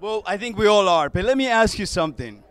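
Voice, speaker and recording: A man's voice: high-pitched, expressive, moderate pace, a bit bright, smooth. A middle-aged man hosting red-carpet interviews in a playful, joking way on a handheld microphone. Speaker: TV host interviewing guests. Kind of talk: red-carpet interview, switching English and Spanish. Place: indoor event lobby.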